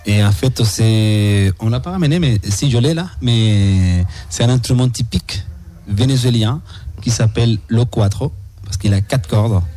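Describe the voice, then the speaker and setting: Mellow-voiced Venezuelan musician, faint music. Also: male voice, mid-range voice, a little slow. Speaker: musician guest. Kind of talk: radio interview.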